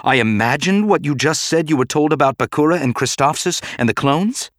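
A man's voice: mid-range voice, moderate pace, dry recording, clean studio sound, lightly acted. Man acting an urgent, fiery young female senator. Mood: biting, angry. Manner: urgent, insistent. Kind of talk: dramatic audiobook character acting.

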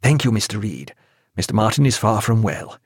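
A man voicing a calm, level-headed ship's physician speaking in measured tones. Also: fairly low pitch, no echo, studio quality.

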